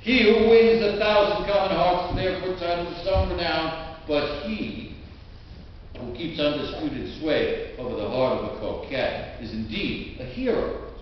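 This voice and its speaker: A man storyteller reads a classic tale aloud in a dramatic, old-fashioned manner, with emphatic swells and pauses. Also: high voice. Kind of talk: theatrical live literary reading. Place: inside a historic stone church. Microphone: distant microphone in the room. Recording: echoey church, distant mic, dull, faint noise.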